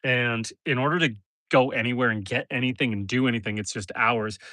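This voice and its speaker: A man's voice: mid-range voice, a little fast, no echo. Stuffy-nosed man chatting on a homestead stream. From northern Canada. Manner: relaxed, chatty, talks to animals and viewers. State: stuffy nose. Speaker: homesteader livestreaming. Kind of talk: casual live stream chat. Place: outdoors in a forest pen.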